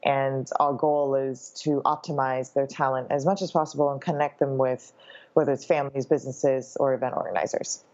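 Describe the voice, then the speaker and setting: Low-pitched woman guest, talks it through with lots of ums, kinda dull lo-fi sound. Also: steady tone, brisk, darkish tone. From born in Hong Kong, raised in Canada. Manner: thoughtful, with frequent ums and uhs. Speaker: guest, founder of a company. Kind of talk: interview answers.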